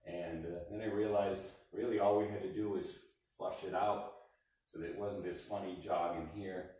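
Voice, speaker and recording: A middle-aged man giving a plain, relaxed walkthrough of his renovation work, filmed on a camera. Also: deep voice, moderate pace, far from the mic. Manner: plain, relaxed explaining with fillers. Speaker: home renovator. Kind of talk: casual walkthrough narration. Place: gutted house under renovation, indoors. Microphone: camera mic, selfie at arm's length and far camera. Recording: echoey bare room, sometimes off-mic.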